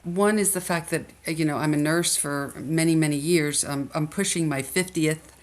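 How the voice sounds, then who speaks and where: Low-voiced older woman, Philly accent. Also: normal speed. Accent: Philadelphia. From Philadelphia area, USA. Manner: reflective, many restarts and fillers. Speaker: guest, nurse educator. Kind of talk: interview conversation.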